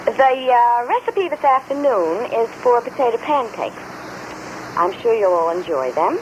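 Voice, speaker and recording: A woman's voice: fairly high pitch, very animated, unhurried, dull audio, slight background noise. A woman presenting a local radio program in old-fashioned women's show style on a vintage recording.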